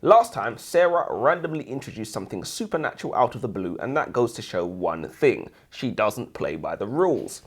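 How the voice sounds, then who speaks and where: Very animated, slangy man, slightly husky. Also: mid-range voice, moderate pace, hardly any pauses. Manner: incredulous, slangy, exclamatory banter. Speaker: co-host of a book review show. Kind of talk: casual comedic book discussion. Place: warm room, seated in comfy chairs.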